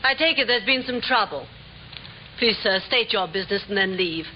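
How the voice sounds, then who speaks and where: A woman's voice: mid-range voice, lively intonation, normal speed, lightly acted. A woman playing an imperious actress-manager in 1950s radio drama, with commanding, theatrical manner and precise diction. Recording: muffled, noisy old radio recording.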